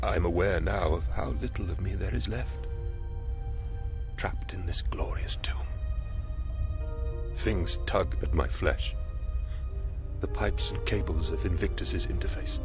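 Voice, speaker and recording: A deep, grave male voice as an ancient warrior entombed in a war machine, telling his own death with stoic faith. The delivery is solemn and dramatic, audio drama style, over prominent music. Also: unhurried, pauses often. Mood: dying, fervent. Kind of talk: first-person audio drama narration. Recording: loud music behind the voice.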